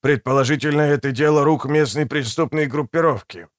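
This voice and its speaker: A man's voice: a little high, brisk, no room sound, clean studio sound, lightly acted. A man voicing an anxious, preoccupied male companion in an audiobook, grave and urgent, half muttering his reports. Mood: urgent, worried. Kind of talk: audiobook character dialogue.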